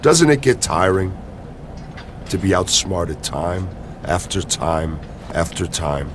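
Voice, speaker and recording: Arrogant, animated wrestling villain. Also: male voice, on the low side, measured pace, noisy background. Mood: smug taunting. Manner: smug, mocking, theatrical. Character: arrogant scheming male wrestling champion, taunting and manipulative. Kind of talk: video game cutscene acting.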